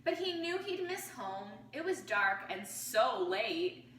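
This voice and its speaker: Animated, high-pitched woman reading to children. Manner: animated storytelling, pausing to pose questions to young listeners. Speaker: teacher reading a picture book aloud to pupils. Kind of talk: expressive children's read-aloud with questions to listeners. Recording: roomy, distant mic with faint noise.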